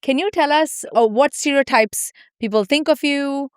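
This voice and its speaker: A young adult woman from India hosting a podcast interview, upbeat and curious, with quick follow-up questions. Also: sits high, no room sound, studio quality. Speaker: podcast host.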